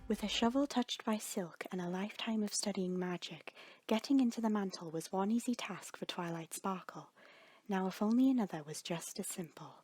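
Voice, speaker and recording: A woman's voice: medium pitch, moderate pace, darkish tone, slightly rough. A woman reading fan fiction aloud as a scripted, dramatic story narrator. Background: background music.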